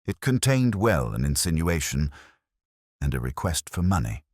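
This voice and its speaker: An anxious, fussy bishop with a very deep voice, read by a male narrator in a detective story. He speaks formally and hesitantly, embarrassed and evasive, his pitch moving about. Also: very animated, normal speed, no room sound, clean studio sound, heavily acted.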